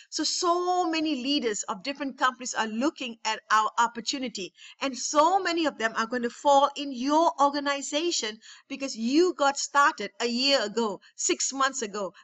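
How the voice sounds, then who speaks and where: Bright, high-voiced woman leading a webinar training. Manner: enthusiastic, repetitive, rallying. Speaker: network marketing trainer. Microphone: webinar call.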